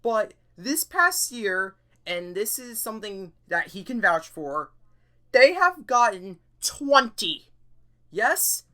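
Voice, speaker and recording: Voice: medium pitch, sing-song, takes it slowly, sharp tone. An earnest, emphatic YouTuber giving semi-scripted commentary on an issue. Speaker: YouTuber presenting an issue video.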